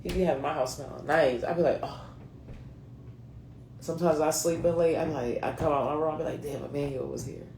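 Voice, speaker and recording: A woman's voice: sits low, brisk, a little mellow, echoey room, slight background noise. A woman in her late thirties with a mixed US East Coast accent, giving a chatty, slangy card reading straight to her viewers. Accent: mixed US East Coast (New England, Philadelphia, North Carolina, Delaware). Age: late 30s. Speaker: card reader.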